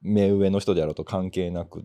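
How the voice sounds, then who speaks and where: A man in casual podcast chat, sharing reflective, measured opinions. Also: low-pitched, fairly even intonation, warm tone. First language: Japanese. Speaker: podcast co-host.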